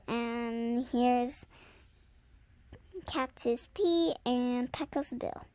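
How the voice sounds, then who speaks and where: A young girl with a high voice reads a story aloud for her viewers. She moves very slowly and haltingly, sounding out and repeating words, and drops in casual asides. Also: warm tone, dry recording. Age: child around seven or eight.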